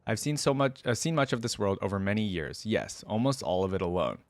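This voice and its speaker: A man voicing a cultured, soft-spoken immortal aristocrat in a storybook reading, with a languid, silken manner. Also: fairly low pitch, animated, fairly quick, no room sound. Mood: wistful.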